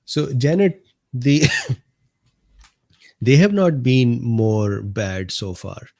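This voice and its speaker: A man hosting a casual live medical talk stream, slightly hoarse, unhurried, laughing often and answering viewers by name. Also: mid-range voice, mellow. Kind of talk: casual livestream chat reading viewer comments.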